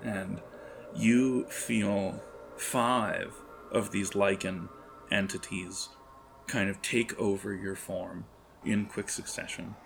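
A man improvising narration as game master of a tabletop horror game, halting as he searches for words. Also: on the low side, steady tone, gravelly, music in the background.

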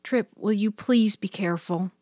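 A woman's voice: medium pitch, normal speed, warm tone, dry recording, clean studio sound, voice pushed up, lightly acted. A woman voicing a nervous but determined young woman in an audio story, earnest and direct. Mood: purposeful.

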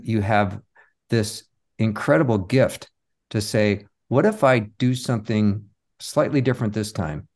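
A man speaking as an interview guest, reflective and halting as he tells stories of his work in conversation. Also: fairly low pitch, a little mellow. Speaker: interview guest, comic magician and stage show creator.

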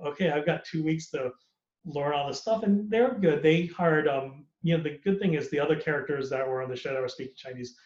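A man's voice: fairly high pitch, fairly quick, darkish tone, echoey room, dull audio. A man, an actor, talking candidly and casually on a podcast, rambling and laughing often. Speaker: actor guest.

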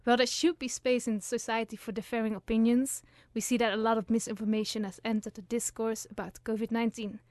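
A young woman from the Netherlands hosts a student radio program, reading her script with the odd stumble. Her voice sits a little high. The studio sound is close and dry. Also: little pitch movement, fast talker, hardly any pauses, a little mellow, dry recording. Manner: reads from a script, some hesitations. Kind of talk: scripted intro and casual chat.

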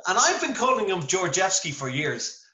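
An Irish man chatting over a video call, telling lively anecdotes with self-deprecating humor. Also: high voice, moderate pace, echoey room, rough recording. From Ireland. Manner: animated storyteller, self-deprecating. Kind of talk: casual video-call interview.

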